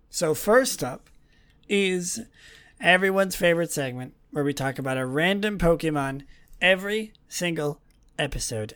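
A man hosting a casual solo podcast in a rambling, self-deprecating way, his voice slightly raspy and gravelly from a long day of talking. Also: on the high side, fairly bright tone. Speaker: podcast host. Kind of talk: casual solo podcast chat about a video game franchise.

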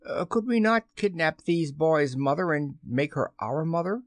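A man's voice: mid-range voice, big pitch swings, a little slow, slightly rough, no room sound, clean studio sound. A man voicing a simple, eager pirate boatswain in a storybook, deferential to his captain. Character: eager, simple-minded male pirate boatswain, devoted underling.

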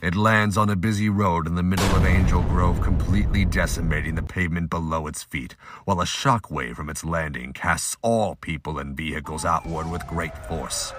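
A man's voice: low voice, fairly even intonation, normal speed, hardly any pauses. A man giving scripted audiobook-style narration of a fantasy audio drama. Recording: noisy amateur home recording, clicks.

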